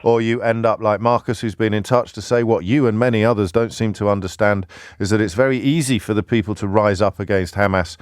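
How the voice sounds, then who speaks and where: Brief: male radio host, very low voice, dry studio sound, measured and forceful. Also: fairly even intonation, dry recording. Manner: measured, forceful argument with deliberate pauses. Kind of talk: talk radio phone-in. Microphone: studio microphone.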